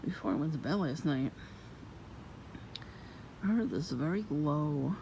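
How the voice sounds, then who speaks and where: A woman with a low voice, vlogging in a soft-spoken, rambling and suspicious way outdoors near her home. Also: expressive, measured pace, vocal fry. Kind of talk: casual rambling monologue. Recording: noisy outdoor ambience.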